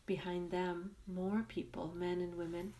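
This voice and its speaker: A woman guides a meditation in a fairly low voice. She speaks very slowly and gently, setting out short phrases with long pauses between them. The delivery is soothing and unhurried. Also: flat delivery, a little hiss or hum.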